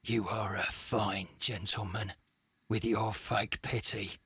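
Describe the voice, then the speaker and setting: A harsh, very raspy cornered crook. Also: male voice, on the low side, level tone, slow pace, bright, forceful tone, no echo, heavily acted. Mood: bitter scorn. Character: cornered criminal, bitter, spitting, contemptuous lowlife. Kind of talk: video game voice acting.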